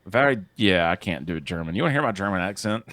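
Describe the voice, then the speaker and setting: A man with a fairly low voice chats about movies on a podcast, his pitch swooping up and down as he jokes and cuts in. His voice sounds dry and close, with almost no room around it. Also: fast, no echo. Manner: excitable and joking, jumps in mid-sentence. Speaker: podcast co-host. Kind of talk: casual movie podcast chat.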